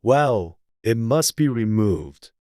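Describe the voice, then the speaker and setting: A man's voice: medium pitch, lively intonation, takes it slowly, mellow, dry recording, studio quality. A man voicing a pompous, fussy, haughty king with clipped delivery in a dramatized storybook audiobook. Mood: indignant.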